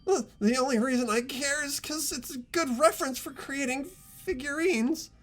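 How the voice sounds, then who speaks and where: A performer voicing a creepy, nerdy male figurine artist in a fan-dubbed game, whiny and stammering with drawn-out words. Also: high-pitched, fairly bright tone. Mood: flustered longing.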